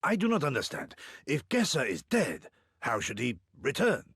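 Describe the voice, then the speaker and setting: An actor playing an earnest, proud samurai in a video game, speaking formal archaic lines with dramatic pauses. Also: male voice, medium pitch, wildly swooping pitch, a bit bright, slightly rough, no echo, studio quality, exaggerated voice. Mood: incredulous, indignant. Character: earnest, theatrical samurai warrior, proud and inquisitive.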